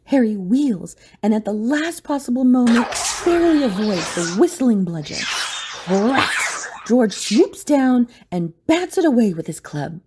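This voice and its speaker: A woman's voice: on the high side, sing-song, a little slow, noisy background. A woman narrator reading a scripted story dramatically for an audio play.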